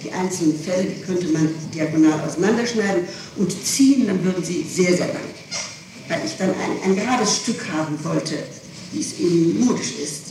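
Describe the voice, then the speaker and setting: Halting woman on echoey old tape. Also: lowish voice. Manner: halting, repeats words while explaining. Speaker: person being questioned by police. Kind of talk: interrogation testimony. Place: reverberant room, taped police interrogation. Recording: echoey, noisy old tape, distant mic.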